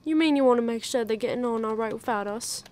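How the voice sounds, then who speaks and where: A woman playing a warm, wry former investigator turned librarian in a full-cast audio drama. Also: a little high, fast, close to an everyday voice. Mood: caring.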